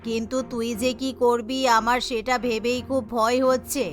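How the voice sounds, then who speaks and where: A woman voicing a gentle, worried mother of grown daughters in a cartoon, softly scolding and fretful. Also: fairly high pitch, level tone, moderate pace, voice pushed down, lightly acted. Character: gentle, worried mother of twin young women. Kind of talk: Bengali cartoon voice acting. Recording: music behind the voice.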